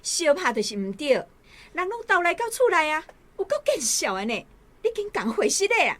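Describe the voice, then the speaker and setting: Lively, fretful Taiwanese mother. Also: high voice, normal speed. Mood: scolding. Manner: scolding, fretful, tender. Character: hardworking mother of many children, scolding yet tender. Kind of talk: radio drama acting. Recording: guitar music under the voice.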